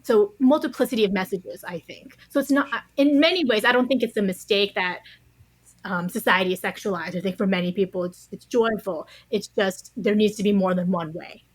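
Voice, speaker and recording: A woman's voice: medium pitch, brisk, no room sound. A woman speaking as a podcast guest in a thoughtful, conversational way, carefully qualifying her points with nuance.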